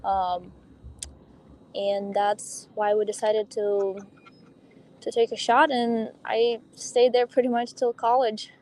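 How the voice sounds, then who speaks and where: A young woman speaks openly in a podcast interview, her colouring her English. Her voice sits on the higher side. She talks in a loose, chatty way, with soft laughs and ums between her thoughts. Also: little pitch movement, slow pace. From Russia. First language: Russian. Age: young adult. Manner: open and chatty, with light laughs and filler ums. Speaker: guest, professional pickleball player.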